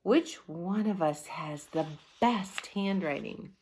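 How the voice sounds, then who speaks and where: A woman's voice: lowish voice, slow, darkish tone, a little hiss or hum, rough recording. A woman voicing a cheeky, easygoing schoolboy from a storybook with a casual, protesting manner. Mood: agreeable.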